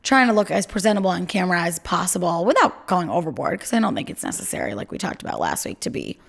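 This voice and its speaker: Chatty young woman with a gravelly, lively voice. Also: quick pace. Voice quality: low voice. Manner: chatty, self-deprecating, lots of "like" and "I mean". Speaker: podcast co-host. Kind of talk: casual chat. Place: home.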